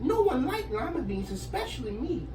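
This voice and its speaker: A woman doing a dramatic young schoolgirl, swooping pitch. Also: very animated, normal speed. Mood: reluctant. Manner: exclamatory, emotional. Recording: echoey room, distant microphone, noise.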